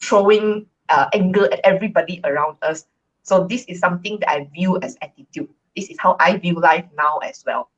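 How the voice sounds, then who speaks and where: Husky, low-voiced woman from Malaysia, co-host, chatty and reflective. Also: brisk, muffled recording. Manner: relaxed, reflective, frequent fillers. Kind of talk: casual live-stream chat.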